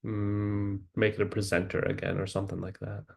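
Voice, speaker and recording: A man's voice: lowish voice, flat delivery, a little fast, gravelly, a little mellow, husky. A man hosting an online event casually over a video call, informal and a little hesitant, with a reassuring way of talking. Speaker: webinar host. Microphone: video call microphone.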